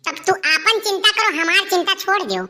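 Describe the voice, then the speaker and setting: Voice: very high-pitched, fairly quick, pushed and bright, exaggerated voice. A performer voicing a cheeky, scheming village boy in a comic cartoon, whining and insistent, with an Awadhi accent. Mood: cheeky, threatening. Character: cheeky scheming village lad, lovestruck, blackmailing his uncle.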